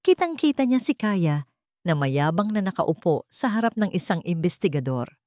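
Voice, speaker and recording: A woman's voice: lowish voice, sing-song, moderate pace, a little mellow, no echo, clean studio sound. A woman narrating a scripted dramatic story.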